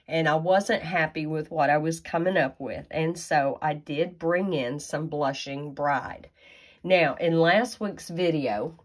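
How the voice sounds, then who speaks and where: A woman with a stuffy nose from a sinus infection, chatting folksily through a card-making tutorial. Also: sits low. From Tennessee, USA. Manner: chatty and folksy, with frequent fillers and asides. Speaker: craft demonstrator.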